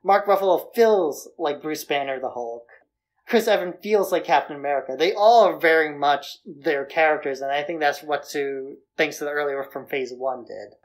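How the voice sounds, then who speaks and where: A man's voice: a little high, normal speed, poor audio. Man casually co-hosting a film podcast. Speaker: podcast co-host.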